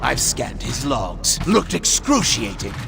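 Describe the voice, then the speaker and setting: A conniving, cowardly robot villain for a video game. The voice is heavily gravelled and wildly swooping, with theatrical, taunting arrogance. Loud music plays behind it. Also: male voice, medium pitch, sing-song, slow, heavily acted. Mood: gloating.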